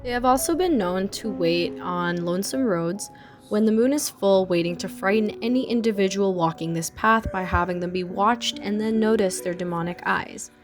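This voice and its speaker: A young woman talks through a folk legend in a casual, chatty way, dropping fillers and amused asides. Her voice sits at an ordinary middle pitch, close to a home mic with some pops, and faint music plays underneath. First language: English. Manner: chatty, laughs at odd details, many fillers. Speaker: folklore channel host. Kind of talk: narrated explainer with casual asides. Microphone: close home mic with audio pops.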